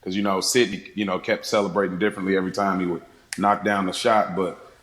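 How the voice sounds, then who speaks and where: A man's voice: sits low, little pitch movement, fast talker, a bit bright. A man chatting casually as a panelist on a film podcast, with a roomy, echoey pickup. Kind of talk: casual chat.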